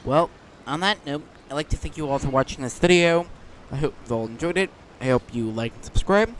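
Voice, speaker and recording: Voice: medium pitch, fairly quick, slight background noise. A gaming YouTuber giving casual commentary and reading dialogue choices aloud.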